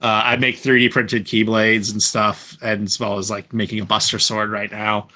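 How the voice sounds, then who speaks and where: A man with a fairly low voice and a bright, forward edge talks casually among fellow podcast panelists. He rambles, backs up to correct himself and peppers his sentences with "like". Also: level tone, moderate pace. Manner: rambling, self-correcting, frequent "like". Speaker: podcast panelist and fan dub voice actor. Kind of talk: casual group chat.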